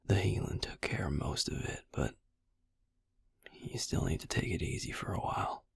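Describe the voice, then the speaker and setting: A man voicing a gruff, caring, sardonic vampire healer in an intimate ASMR roleplay, speaking in a breathy, gravelly near-whisper. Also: a bass voice, expressive, moderate pace, no echo, exaggerated voice. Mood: tired, fond.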